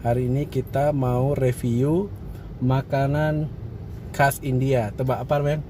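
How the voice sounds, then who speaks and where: Chatty food vlogger with street noise. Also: male voice, medium pitch, fairly even intonation, unhurried, warm tone. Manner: chatty, asks many questions. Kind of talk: casual food vlog chat. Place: outdoor food stall by a street.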